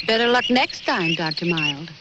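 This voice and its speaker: A woman playing a single-minded, bossy lady bird researcher, brisk and grandly earnest, in a 1960s cartoon. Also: on the low side, expressive, close to an everyday voice. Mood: dismissive. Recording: dull noisy worn VHS transfer.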